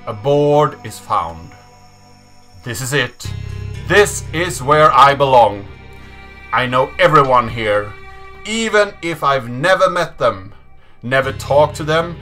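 A man in his thirties speaks in a deliberate, measured manner. His voice is bright and crisp at a slow pace, with faint music playing behind him. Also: a little high. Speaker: host of a programming channel. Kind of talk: slow dramatic reading and presenter talk. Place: small indoor room at a desk.

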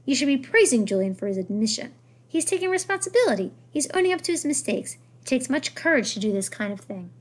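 A woman reading aloud plays a fierce old French grandmother in a storybook. She is imperious and blunt, pushing out her words emphatically in a high, husky voice and waving away any objection. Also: big pitch swings, fast talker. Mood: blunt, loud. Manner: emphatic, dismissive, repeats words.

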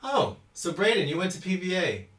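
A man's voice: high-pitched, big pitch swings, fairly quick, fairly bright tone. A man in his thirties from Houston, a cello teacher chatting casually on a live-stream Q&A, reading out viewer comments and laughing often. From Houston, Texas. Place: small indoor room at home. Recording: roomy echo.

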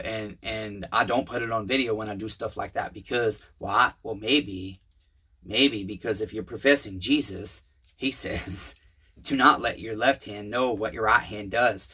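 A man in his forties with a low, husky voice hosts his repair channel from a cluttered home workshop. He talks to viewers like neighbors, folksy and rambling with plenty of fillers. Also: sharp tone. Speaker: small engine repair channel host. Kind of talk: casual rambling vlog to viewers.

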